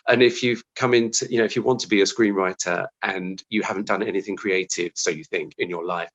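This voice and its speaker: A man's voice: low voice, fairly even intonation, a little fast, a bit bright, dull audio. A man talking casually as an interview guest about his craft, thinking aloud and restarting his phrases as he goes.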